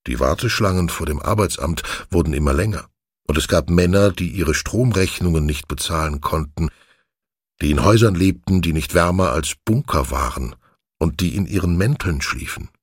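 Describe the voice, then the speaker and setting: Low, husky male audiobook narrator. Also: normal speed, no room sound, clean studio sound.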